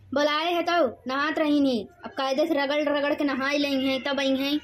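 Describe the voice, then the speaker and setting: Woman playing a high-pitched rustic young daughter-in-law. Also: level tone, moderate pace, very noisy, lightly acted. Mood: busy, eager. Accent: eastern Uttar Pradesh. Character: busy, complaining young village daughter-in-law. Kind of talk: animated comedy cartoon dialogue.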